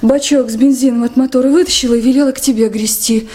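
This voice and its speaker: Young river station girl, high mellow voice, naive n shaken, halting, soviet radio play. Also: steady tone. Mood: shaken, hurried recounting. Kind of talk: Soviet radio drama acting.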